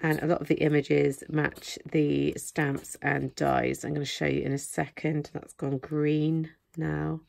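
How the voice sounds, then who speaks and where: A woman's voice: deep voice, level tone, a little slow, creaky, few pauses, a little mellow, no echo. A woman hosting a craft channel, chatting casually through a product haul while losing her voice. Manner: chatty, rambling with many fillers. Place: indoors at a craft desk. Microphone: camera overhead, hands in frame.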